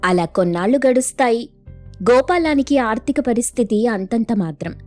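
Female storyteller over soft music. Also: fairly high pitch, animated, normal speed. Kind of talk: scripted moral story narration.

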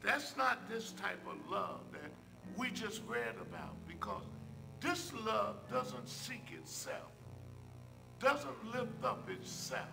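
An older man, a church minister, leads a public prayer from a pulpit in measured phrases with emphatic repetition. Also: very high-pitched, big pitch swings, vocal fry, music in the background, rough recording. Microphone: pulpit microphone.

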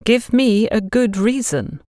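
A woman voicing a stern, frank middle-aged businesswoman in an audiobook, brisk and no-nonsense. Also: on the high side, lively intonation, mellow, dry recording, clean studio sound, pitched above the performer's own voice. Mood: stern, skeptical.

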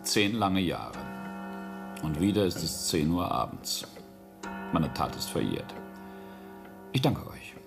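A man's voice: sits low, very animated, slow pace, gravelly, pauses often, music in the background, exaggerated voice. An actor playing a middle-aged, coolly controlled rich businessman and family patriarch in a radio drama. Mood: smug, courteous. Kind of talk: German radio drama acting.

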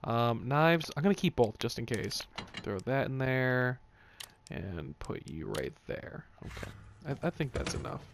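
A man's voice: mid-range voice, sing-song, measured pace, vocal fry, a little hiss or hum. A man doing casual let's play commentary on a video game, swearing and tossing out jokey asides to himself.

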